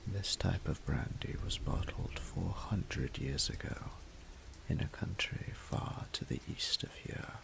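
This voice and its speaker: A man voicing an ancient, haughty and flirtatious male dragon in a roleplay audio drama, speaking in a formal, imperious, deliberate way. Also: whispered, full character voice, low-quality recording. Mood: hospitable, commanding. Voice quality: breathy, gravelly low voice.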